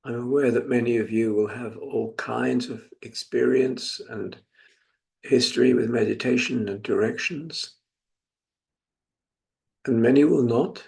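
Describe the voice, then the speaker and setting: A British man in his seventies from England leading a guided meditation as a teacher, speaking in a reflective, gently instructive manner with pauses. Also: mid-range voice, vocal fry. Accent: British English. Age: 70s.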